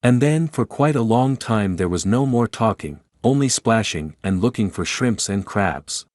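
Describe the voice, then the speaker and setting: A man narrates a storybook from a script. His voice sits fairly low. The recording is dry, with no room sound. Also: lively intonation, unhurried, a little mellow, no echo.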